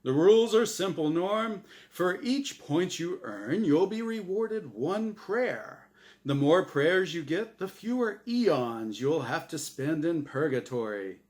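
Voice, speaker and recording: A man's voice: fairly high pitch, big pitch swings, slow pace, a little mellow, pitched above the performer's own voice. A man voicing a smug, showy angel who hosts a game show, with theatrical, emphatic patter in a storybook reading. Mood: smug, teasing. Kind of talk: storybook character voice in dramatic reading.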